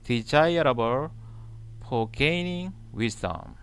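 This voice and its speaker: A man reading scripture aloud in a deliberate manner, pausing between short, halting phrases. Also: mid-range voice, lively intonation, a bit bright, clean tone. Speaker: channel host reading the Bible aloud. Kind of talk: slow scripture read-aloud.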